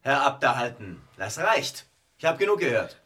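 A man's voice: mid-range voice, sing-song, moderate pace, bright, forceful tone, slight background noise. An actor playing a cold, haughty male boss in a radio drama, with stilted, cutting, bureaucratic speech. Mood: cold, condescending.

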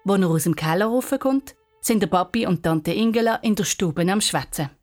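A woman's voice: medium pitch, normal speed, a little mellow, soft background music. A woman narrating a scripted children's story in Swiss German as the storyteller.

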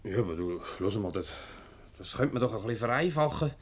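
An actor playing an evasive husband in a Swiss German radio comedy, hesitant and stammering. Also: male voice, lowish voice, animated, brisk, slightly rough. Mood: sheepish, evasive. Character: sheepish, evasive flirtatious husband.

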